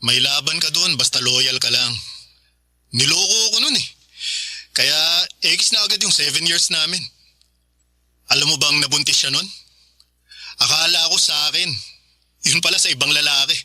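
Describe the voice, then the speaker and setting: A man's voice: fairly high pitch, moderate pace, long pauses, bright, forceful tone, no room sound. A man playing a young suitor in a radio drama, talking casually and jokingly about love. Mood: wistful, candid.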